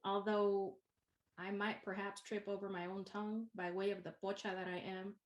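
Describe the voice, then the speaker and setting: A woman's voice: medium pitch, level tone, muffled recording. A Chicana woman from East San Jose, a university instructor whose first language is Spanish, giving a poetic spoken-word welcome address in English laced with Spanish. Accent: Chicana English with Spanish code-switching. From East San Jose, California. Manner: poetic, rhythmic, unhurried phrasing.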